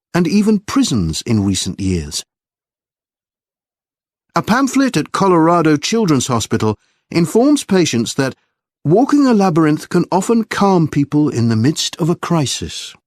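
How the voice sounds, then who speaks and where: A man reads a scripted academic lecture with a fairly high voice and animated intonation. His delivery is measured and clearly enunciated, recorded close and dry. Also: no echo. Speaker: lecturer reading an exam listening passage.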